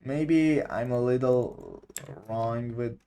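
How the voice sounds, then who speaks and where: A man explaining video editing effects, speaking in halting English with many pauses and fillers as he searches for words. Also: mid-range voice, mellow. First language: Persian. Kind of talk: unscripted screen-recorded tutorial.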